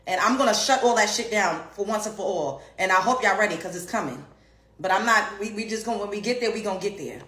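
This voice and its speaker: A woman's voice: medium pitch, quick pace, a bit bright. A woman, ranting bluntly and profanely as an online commentator and comedian. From Brooklyn, New York. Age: 30s. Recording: roomy echo.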